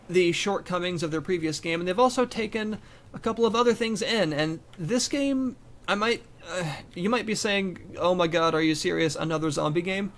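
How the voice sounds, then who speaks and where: A man giving casual gameplay commentary for a game review, his voice a little raspy and strained, talking in a loose, rambling way. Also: sits high, a bit bright, slight background noise. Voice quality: rambling, with restarts and fillers.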